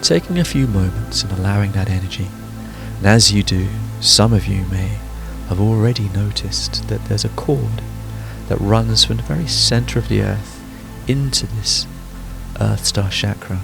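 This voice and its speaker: A man guides a sleep meditation in a very deep voice with a creaky, gravelly edge. He gives soothing instructions in short phrases, leaving pauses between them. Music plays behind him. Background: background music.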